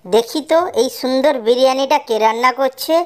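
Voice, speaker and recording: A woman voices a sneaky female cuckoo in a cartoon. The voice is high, with a gushing, scheming delivery full of greed. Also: a little fast, clear, resonant tone, lightly acted. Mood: greedy delight. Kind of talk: animated cartoon dialogue.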